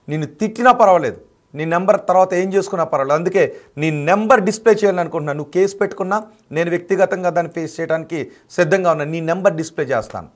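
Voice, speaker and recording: Guy anchoring tv news, fairly high voice, fired up and calling someone out. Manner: indignant, confrontational address. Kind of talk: news studio commentary.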